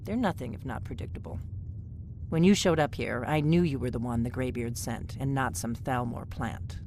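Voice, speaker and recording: Secretive innkeeper spy. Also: female voice, a bass voice, moderate pace, a little mellow, slight background noise. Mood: urgent. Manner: cautious, urgent, conspiratorial.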